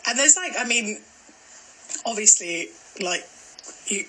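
Giggly young woman, soft mixed Glasgow lilt. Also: medium pitch, animated, takes it slowly, slight background noise, low-quality recording. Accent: mild, mixed Glasgow accent. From Scotland. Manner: chatty, giggly, trailing off with fillers. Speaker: podcast co-host. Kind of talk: casual chat.

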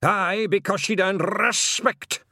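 Proud, testy old Scottish pixie, raised pitch. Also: male voice, animated, normal speed, fairly bright tone, no echo, studio quality. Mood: indignant. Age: 90s. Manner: gruff, scolding dialect speech. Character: proud, testy ninety-six-year-old male pixie piper. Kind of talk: audiobook character voice.

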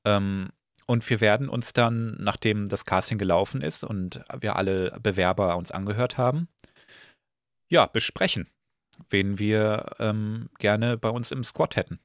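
A slightly hoarse man hosting a casual podcast, relaxed and full of filler sounds. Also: on the low side, a little slow, no echo, clean studio sound. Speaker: podcast host.

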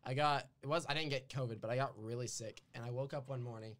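Bright-voiced man in his twenties, chatting. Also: medium pitch, steady tone, fast talker. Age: 20s. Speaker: podcast co-host. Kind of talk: casual chat. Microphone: desktop mic on a voice call.

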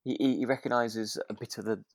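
A man's voice: lowish voice, steady tone, quick pace, no echo, studio quality. A man co-hosting a podcast, chatting casually and agreeably about a radio drama, thinking aloud in loose sentences. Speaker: podcast co-host.

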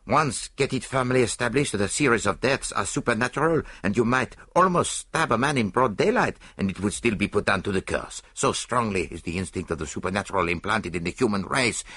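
A man voicing a dapper, self-satisfied little foreign detective in an audiobook, speaking precisely and deliberately. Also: on the low side, animated, sharp tone, breathy edge, dry recording, pitched above the performer's own voice, heavily acted. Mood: grave, explanatory.

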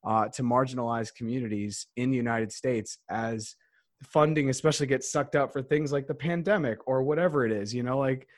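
A man's voice: mid-range voice, fairly quick, no echo, clean studio sound. A man hosting a podcast in a casual conversation, talking in loose, rambling sentences full of restarts and fillers. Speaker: podcast host.

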